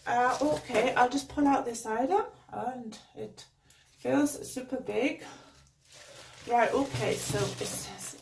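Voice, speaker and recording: A woman from the North East of England speaks in a Geordie accent, her voice croaky and gravelly from a cold. She rambles in slow, unhurried talk full of fillers. The recording picks her up from a little distance, with faint noise behind her. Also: fairly high pitch, a little mellow. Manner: chatty, rambling, lots of fillers. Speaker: beauty vlogger. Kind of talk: casual unboxing chat. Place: home.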